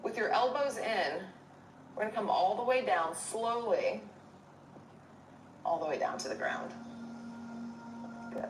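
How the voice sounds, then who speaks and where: A woman's voice: mid-range voice, lively intonation, unhurried, long pauses, noisy background. A woman guiding a yoga class as instructor, giving encouraging, step-by-step cues. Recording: echoey room, distant mic, music behind. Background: music.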